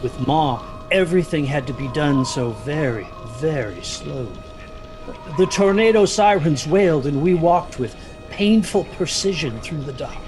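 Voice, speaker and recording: An actor playing an anxious, guilt-ridden young son, narrating a story in the first person with literary intensity. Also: male voice, fairly high pitch, lively intonation, a little slow, music in the background. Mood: tense.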